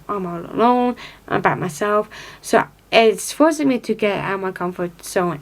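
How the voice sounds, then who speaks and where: A young American woman in her twenties talks casually to her viewers, rambling and piling up fillers as she loses and finds her thread. Her voice sits at an ordinary middle pitch, and she glances down at notes as she goes. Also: poor audio. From upstate New York, United States. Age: 20s. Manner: rambling, many fillers and restarts; reads from notes, looking down. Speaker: vlogger. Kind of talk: casual talk to camera.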